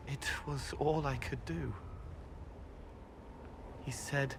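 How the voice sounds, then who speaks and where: A man playing a gentle, otherworldly young man in a video game, speaking in a halting, wondering, poetic way. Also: medium pitch, steady tone, gravelly, noisy background. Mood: sorrowful. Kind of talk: video game character dialogue.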